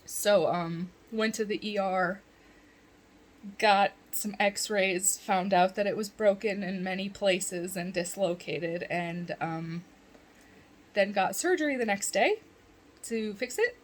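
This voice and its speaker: A woman's voice: medium pitch, takes it slowly. A woman vlogging in a casual chat from bed at home, congested and rambling, often laughing.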